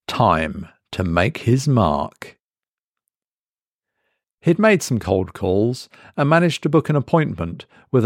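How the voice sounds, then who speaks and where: A man reading a scripted horror story as a dramatic narrator, measured and deliberate with pauses for effect. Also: mid-range voice, no echo, clean studio sound.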